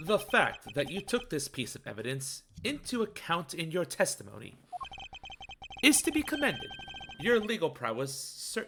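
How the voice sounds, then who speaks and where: A man voicing a poised, confident game prosecutor in a formal, theatrical, deliberate manner for a fan dub. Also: fairly high pitch, gravelly, pushed and bright, full character voice. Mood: grand, commending. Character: poised, confident male prosecutor in a mystery game, arguing his case. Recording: music behind the voice.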